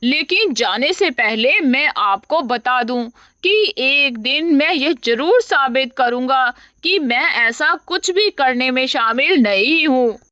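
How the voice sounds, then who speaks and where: A woman's voice: sits high, expressive, takes it slowly, hardly any pauses, sharp tone, dull audio, exaggerated voice. A woman voicing a noble, protective lion, stern and defiant, speaking in solemn declarations for a cartoon story.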